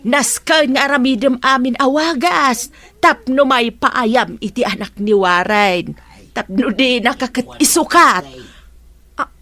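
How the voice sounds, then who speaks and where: A woman's voice: high-pitched, unhurried, bright, forceful tone, exaggerated voice. A woman playing an anxious young wife who longs for a child in a radio drama, speaking emotionally with an Ilocano accent. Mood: distressed. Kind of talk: Filipino radio drama acting.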